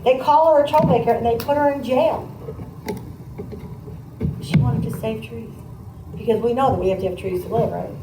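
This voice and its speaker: A woman teacher reading a picture book aloud, pausing to comment and put questions to her listeners in a conversational way. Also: on the high side. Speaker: teacher demonstrating a read aloud to pupils. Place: echoey indoor classroom. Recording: roomy, distant mic, faint background noise.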